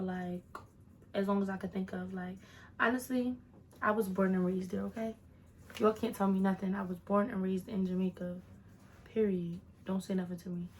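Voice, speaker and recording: A woman's voice: medium pitch, a little hiss or hum. A woman of twenty-one answering viewer questions in a casual vlog, chatty with sassy asides to her viewers. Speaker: vlogger.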